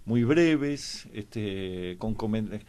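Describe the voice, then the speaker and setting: A man with an Argentine accent in a panel discussion, explaining in a halting, hesitant way. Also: lowish voice, expressive, warm tone. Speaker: panelist on a history programme.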